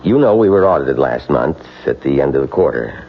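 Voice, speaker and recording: An actor playing a stern but understanding office boss, hesitant and measured, in 1970s style radio drama. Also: male voice, extremely low pitch, very animated, full character voice. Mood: grave concern. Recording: dull old radio broadcast with hum.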